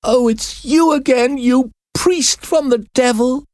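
Guy putting on a scared young girl, high and cracking, dry close sound. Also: sing-song, moderate pace, dark tone, dry recording. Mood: despairing. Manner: high pleading cries.

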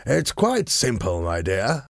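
A man voicing a calm, bored-sounding gentleman hero in an audiobook, with a drawling, courteous, nonchalant manner. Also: medium pitch, slow, creaky, no echo, studio quality, voice pushed up, exaggerated voice. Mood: calm explaining. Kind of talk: acted audiobook dialogue.

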